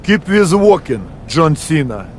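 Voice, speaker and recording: A man's voice: on the high side, very animated, measured pace, a little hiss or hum. A man playing a blunt, bullish foreign henchman speaking broken English in a wrestling video game. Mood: stern, threatening. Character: curt, bullish foreign male security heavy. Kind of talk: scripted wrestling game cutscene acting.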